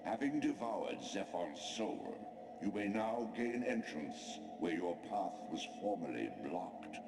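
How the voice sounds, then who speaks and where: An actor playing an ancient godlike spirit in a video game, giving grave, oratorical exposition in a deep, gravelly voice. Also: male voice, sing-song, slow, over music, exaggerated voice. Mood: solemn, commanding. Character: ancient godlike spirit, male, solemn, commanding mentor. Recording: large echoing hall, slightly distant, faint noise.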